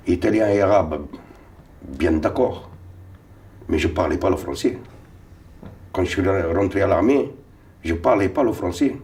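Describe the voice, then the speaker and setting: A man's voice: low-pitched, darkish tone. An older man from Tunisia, whose first language is Sicilian, recalls his navy days in a rambling way, often repeating and restarting words. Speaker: interviewee. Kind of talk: recounting memories. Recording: voice a little far from mic.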